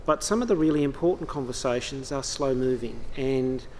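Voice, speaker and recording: A man speaks on a conference panel in a calm, reflective way and works through his thoughts aloud. Faint room ambience sits behind him. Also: mid-range voice, slight background noise, poor audio. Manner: reflective, measured, thinking aloud.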